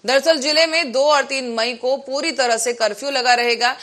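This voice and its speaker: A woman's voice: high voice, fairly even intonation, normal speed, fairly bright tone. A woman news anchor reading scripted headlines and reports.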